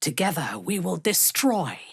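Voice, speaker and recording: A woman playing a ruthless, haughty dark elf noblewoman in a fantasy video game, speaking in a measured, menacing way with a breathy, husky voice. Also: lowish voice, very animated, dry recording, clean studio sound, full character voice. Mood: grave, brooding. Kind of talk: dramatic fantasy game dialogue.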